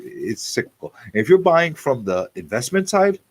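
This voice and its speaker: A man's voice: medium pitch, sing-song, normal speed, slightly rough. A man in his forties hosting a casual live talk show, repeating phrases for emphasis as he talks off the cuff. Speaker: radio show host.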